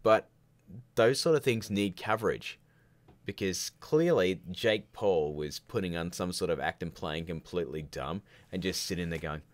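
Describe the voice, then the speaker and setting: A man's voice: sits low, expressive, normal speed. A man hosting a live stream, chatting casually and rambling through questions with candid opinions and jokey asides. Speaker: livestream host of a filmmaking show.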